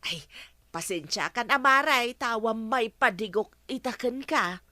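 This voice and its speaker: An aggrieved village woman with an Ilocano accent, swooping up and down in pitch. Her voice is bright and emphatic, full of drawn-out exclamations, in the manner of a comedy radio drama. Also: lively intonation, no echo, clean studio sound. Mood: pleading.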